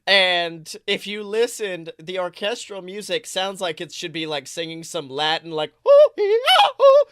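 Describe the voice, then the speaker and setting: Male podcast host, bright sound in a dry recording, chatty, jokey, theatrical delivery. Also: sits high, measured pace, smooth, dry recording. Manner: excitable, jokey, theatrical emphasis. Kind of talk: casual chatty pop-culture banter.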